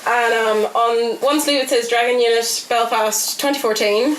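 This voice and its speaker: A woman telling casual anecdotes as a podcast guest, chatty and animated. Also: a little high, moderate pace. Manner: chatty, animated, giggly delivery with quoted asides. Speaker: podcast guest, actor and film extra. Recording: echoey room, faint background noise.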